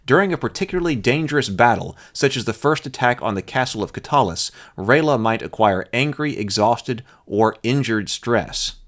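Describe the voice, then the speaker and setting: A man's voice: medium pitch, lively intonation, normal speed, hardly any pauses, fairly bright tone. A man presenting as a host, reading rules aloud and adding casual commentary of his own.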